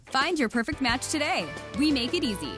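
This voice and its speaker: A woman presenting as a product specialist in a scripted radio car commercial, with a friendly sales-pitch delivery. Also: very animated, a little fast. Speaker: car ad spokesperson. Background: music bed.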